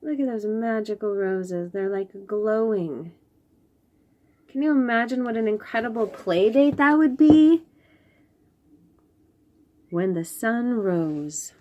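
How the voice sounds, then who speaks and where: Woman, children's storyteller, unhurried pace, animated intonation, gentle wondering manner, picture-book read-aloud, asides to young listeners. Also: on the high side, highs cut off.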